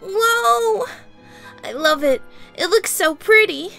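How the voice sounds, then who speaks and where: A woman voicing a proud, vain sea-lion siren in a cartoon comic dub, expressive and a little sassy. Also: extremely high pitch, slow, vocal fry, faint music behind, full character voice. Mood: delighted. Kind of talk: animated comic dub acting.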